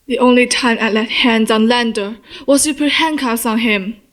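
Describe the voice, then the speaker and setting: A woman's voice: fairly high pitch, normal speed, natural voice. A young female student acting a blunt, sardonic, indignant guest in an amateur stage murder mystery. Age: teenager.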